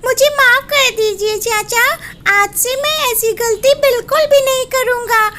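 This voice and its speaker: Lady doing a whiny cartoon boy bird, super high and bright. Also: a little slow, heavily acted. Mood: tearful. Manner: pleading, whiny. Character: mischievous young boy bird, scheming then sorry. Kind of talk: storybook cartoon voice.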